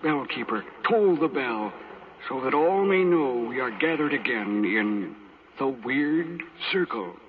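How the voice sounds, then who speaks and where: A man's voice: mid-range voice, animated, slow. An actor playing a solemn, eerie man who hosts a horror show, in 1940s radio style. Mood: ominous. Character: solemn, eerie male horror-show host in a sea cave. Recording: muffled, very noisy 1940s radio recording.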